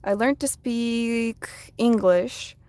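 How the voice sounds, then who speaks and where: A woman whose first language is Russian, chatting softly on a casual live stream from her phone while she draws, reading out viewers' comments. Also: a little high, very slow, clear, resonant tone, no room sound. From United States. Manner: soft-spoken, chatty, reads viewer comments aloud.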